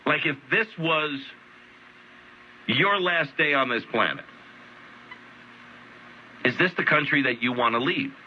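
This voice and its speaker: A man's voice: a little high, slow pace, long pauses. A man delivering an earnest monologue, heard through the speaker of a shortwave radio. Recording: dull noisy shortwave radio playback.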